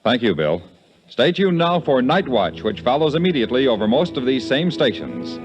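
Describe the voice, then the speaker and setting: A man's voice: mid-range voice, sing-song, normal speed, slightly rough, soft background music. A man with an American accent reading scripted credits as a 1950s radio announcer. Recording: dull vintage radio broadcast.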